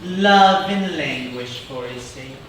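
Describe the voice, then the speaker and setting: A man's voice: medium pitch, animated, slow. A man playing an imperious character, commandingly declaiming Shakespearean stage verse. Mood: incantatory. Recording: very echoey, distant mic, background noise.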